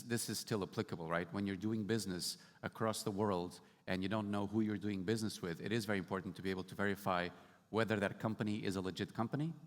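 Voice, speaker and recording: A man from Portugal speaking casually on a conference panel about his startup work, explaining with light humor. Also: fairly low pitch. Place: large conference hall stage. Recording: hall reverb.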